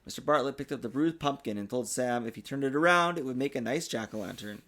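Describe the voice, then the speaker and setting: A man reading a children's storybook aloud in an expressive, sing-song way, as a father does for his young child. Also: medium pitch, fast. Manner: patiently answers child's interruptions. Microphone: desktop USB microphone.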